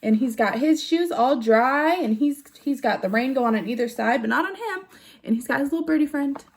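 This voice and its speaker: A woman reading a picture book aloud to young children in an animated way, putting questions to her listeners. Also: high-pitched, moderate pace. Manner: animated, with gasps and exclamations. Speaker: storybook reader for young children.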